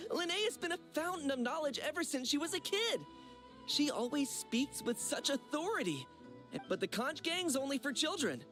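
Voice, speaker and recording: Lively, high-voiced young gang friend. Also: female voice, normal speed. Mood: amused, teasing. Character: cheerful teasing young gang friend, female. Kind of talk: anime game voice acting. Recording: music playing behind the voice.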